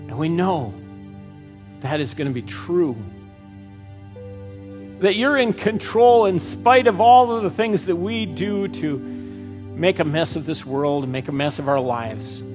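A middle-aged man preaching a sermon and leading a closing prayer, slow and earnest with frequent pauses, on a headset mic. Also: high-pitched, lively intonation, music in the background. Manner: slow, earnest, pausing often. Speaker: pastor. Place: church stage, indoors. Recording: dull church recording with long reverb.

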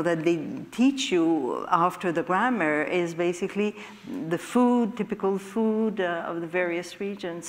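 A woman in her sixties with a Quebec French accent, French her first language, speaking on a discussion panel, full of hesitations and restarts. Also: fairly low pitch. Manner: halting, many hesitations and restarts. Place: stage in an event hall. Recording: hall reverb.

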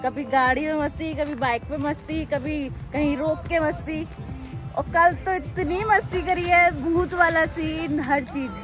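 A young woman chatting excitedly on a travel vlog at a hill viewpoint. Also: high-pitched, a little slow. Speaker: vlog companion. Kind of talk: casual vlog chat. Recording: music over outdoor vlog audio.

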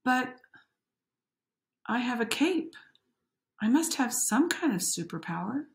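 A woman voicing an excited young boy who imagines he is a superhero, eager and boastful, in a storybook reading. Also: a little high, takes it slowly, pitched above the performer's own voice, exaggerated voice. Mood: hopeful.

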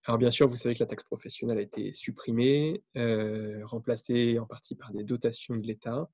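A man presents to a webinar. His voice is relaxed and mid-pitched, and he explains haltingly, often restating a phrase. The call audio is dull and dry. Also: breathy edge, no echo. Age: 30s. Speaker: guest speaker on intercommunal government. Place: indoor room, on a video call.

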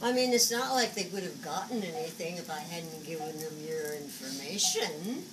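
A woman's voice: sits low, expressive. A woman in her seventies playing a flamboyant, agitated psychic who scolds spirits in a dramatic stage monologue. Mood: defensive. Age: 70s. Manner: dramatic, exasperated, halting muttering to outbursts. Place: small indoor stage set. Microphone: camera a few metres away. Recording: distant camera recording, noisy room.

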